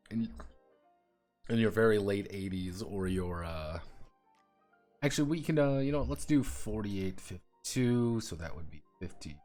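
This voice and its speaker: A man streaming as he designs a tabletop game, explaining rules casually and muttering to himself while he works out the numbers. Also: on the low side. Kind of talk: casual thinking-aloud explanation.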